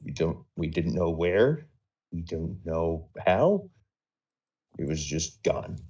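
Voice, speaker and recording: A man recounting a roleplay story off the cuff on a live stream, halting and measured, wandering into small digressions. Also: deep voice, very animated, warm tone, highs cut off. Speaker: storyteller recapping a game server roleplay.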